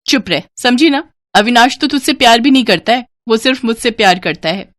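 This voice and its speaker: A high young woman's voice put on by a female narrator for a vain, haughty rival wife in a cartoon tale. The delivery is cutting and emotive. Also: expressive, brisk, no room sound, lightly acted.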